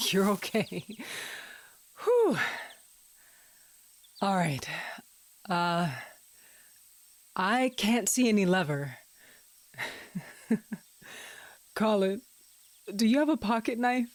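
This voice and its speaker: A woman's voice: medium pitch, big pitch swings, very slow, long pauses, dry recording. A woman playing a practical, hurt estranged wife in an audio drama, speaking with hesitant, dry understatement. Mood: relieved, amused.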